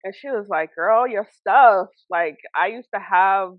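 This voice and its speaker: A woman's voice: mid-range voice, unhurried, no room sound, phone-quality sound. A woman speaking as a guest on a podcast, a brand founder chatting casually with frequent fillers, restarts and laughs.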